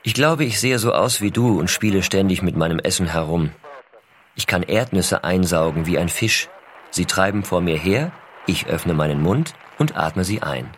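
Casting: tender astronaut dad in an audio drama, very low voice, intimate letter reading, ambient noise behind. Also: male voice, expressive, normal speed. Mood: playful, wondering. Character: American astronaut father writing tenderly to his small son.

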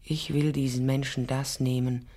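An actress playing a tender, firm German mother in a radio drama, speaking deliberately, like a solemn storyteller. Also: extremely low pitch, flat delivery, warm tone. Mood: solemn, ominous. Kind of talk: radio drama acting, fairy-tale storytelling. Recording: music behind the voice.

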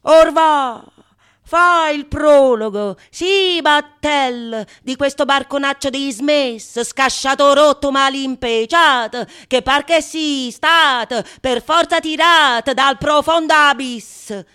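A woman's voice: sits high, takes it slowly, full character voice. A woman playing a harried, indignant stage player who delivers a comic prologue in emphatic radio-theatre style. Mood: loud, ranting. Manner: loud, indignant, emphatic declamation.

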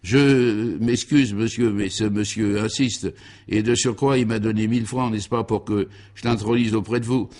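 A man's voice: fairly low pitch, fairly even intonation, normal speed, a little mellow, lightly acted. An obsequious valet played by a man in a comic radio serial. He is deferential to a fault and winds through long, circling, polite phrases. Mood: pleading, servile. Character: obsequious, wordy hotel valet, a male servant.

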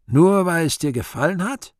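An actor playing the Devil in a radio play, weary, sly and scornful, declaiming slowly and theatrically. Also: male voice, on the high side, expressive, a little mellow, no echo, clean studio sound, lightly acted. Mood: probing, scornful. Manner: slow, deliberate, theatrical declamation with drawn-out words.

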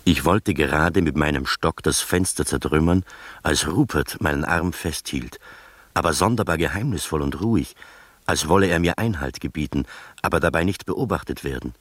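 An actor in a radio drama playing a skeptical gentleman who narrates his uncanny adventure in a measured, literary manner. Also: a bass voice, husky, no room sound. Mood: measured, suspenseful.

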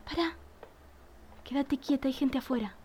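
A woman's voice: high voice, normal speed, dark tone, natural voice. A woman playing a bossy, scheming young Argentine woman with urgent delivery, in an amateur radio play. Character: bossy, scheming young woman sneaking after her idol. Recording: faint background noise.

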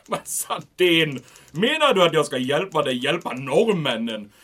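An actor playing a condescending camp commandant who mocks and brushes off requests. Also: male voice, fairly high pitch, sing-song, fairly bright tone. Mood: smug. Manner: condescending, mocking. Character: smug, mocking male camp commandant.

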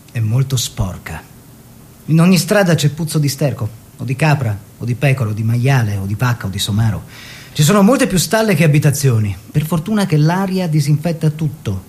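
Young well-to-do painter: higher-pitched, very animated, expressive stage monologue, relishes each short phrase. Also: male voice. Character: eager young bourgeois painter fleeing the family business. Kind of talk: theatrical radio monologue.

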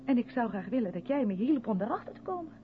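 A narrow, hissy vintage radio recording carries an earnest, defensive woman of the theatre. Her mid-pitched voice moves animatedly as she insists and justifies herself in radio drama style. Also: sing-song, fast talker. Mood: troubled, pleading. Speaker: radio play actor. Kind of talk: Dutch 1970s radio drama acting.

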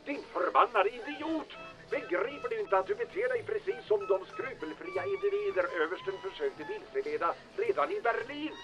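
A man's voice: sits high, sing-song, lo-fi sound, music in the background. A man acting an imperious, hot-tempered baron in an audio drama, with a demanding, scolding manner. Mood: furious.

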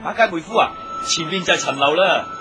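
A man's voice: fairly high pitch, a little fast, over music, natural voice. A man playing a hearty, practical former boatman in a Cantonese radio drama, bluff and colloquial. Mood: cheerful.

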